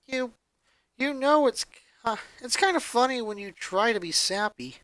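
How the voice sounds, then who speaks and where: A man voicing a sensitive, shy young boy in a story reading, halting and uncertain. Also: very high voice, expressive, bright, forceful tone, no echo, voice pushed up, heavily acted. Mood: teary amusement.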